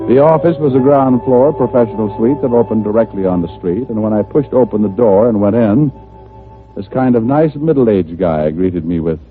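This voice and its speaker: An actor playing a tough, wisecracking private detective in old-time radio style, with a sardonic manner. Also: male voice, lowish voice, moderate pace, close to an everyday voice. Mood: wry narration. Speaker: private detective character and narrator. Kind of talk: 1940s-50s radio detective acting. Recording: tinny old radio recording with music.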